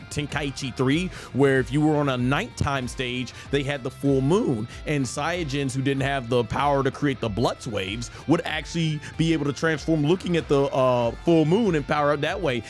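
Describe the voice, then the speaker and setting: A man hosting a live game stream, chatting casually and thinking aloud in a rambling way. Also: mid-range voice. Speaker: streamer, host. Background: faint game music.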